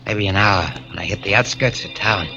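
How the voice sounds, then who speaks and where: A man's voice: lowish voice, brisk, creaky, music in the background. A man playing a hard-bitten male convict on the run, with streetwise slangy tough-guy talk, in 1940s radio acting on a dull lo-fi recording. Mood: uneasy.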